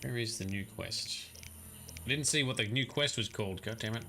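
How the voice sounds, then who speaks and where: Bright-voiced man chatting over gameplay. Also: mid-range voice, moderate pace, creaky, slight background noise. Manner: chatty, self-correcting, chuckles often. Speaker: streamer. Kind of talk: live gameplay commentary.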